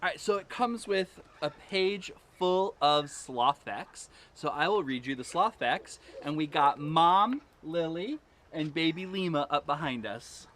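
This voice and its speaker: Bright, high-pitched man presenting casually. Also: animated, unhurried, slight background noise. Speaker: presenter at an animal sanctuary. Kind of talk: casual introduction to a story reading.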